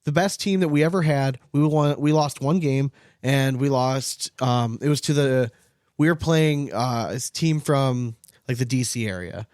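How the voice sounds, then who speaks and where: A man's voice: mid-range voice, measured pace, a little mellow, no echo, studio quality. A man co-hosting a casual sports talk show, ranting with strong opinions and swearing freely. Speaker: podcast co-host, former team coach.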